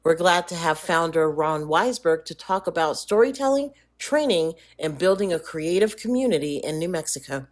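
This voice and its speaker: A woman's voice: lowish voice, lively intonation, normal speed, hardly any pauses. A woman speaking as a television interviewer, polite and affirming with the guest. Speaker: TV interviewer and host. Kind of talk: broadcast interview.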